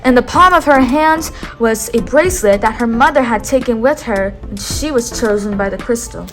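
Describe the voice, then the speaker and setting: A woman reading a picture book aloud in a clear, teacherly way, pausing to have a child repeat key words. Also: on the high side, over music. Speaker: read-aloud host.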